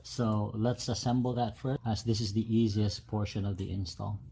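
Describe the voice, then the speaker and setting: Low-voiced man explaining a DIY install. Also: fairly even intonation, moderate pace, dark tone. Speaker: host of a DIY truck modification video. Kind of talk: casual step-by-step tutorial. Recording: clear background noise, ambient sound.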